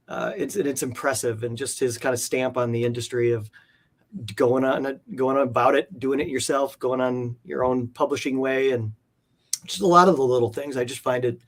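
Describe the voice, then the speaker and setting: Male collector guest, mid-pitched, earnest and halting, casual conversational delivery that repeats itself for emphasis. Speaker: guest, comic art collector. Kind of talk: casual interview chat.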